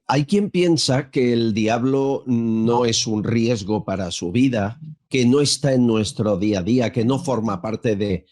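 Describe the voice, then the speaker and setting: Slow, hesitant male host. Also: mid-range voice, darkish tone. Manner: slow, hesitant with many fillers. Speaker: podcast host. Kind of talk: interview hosting.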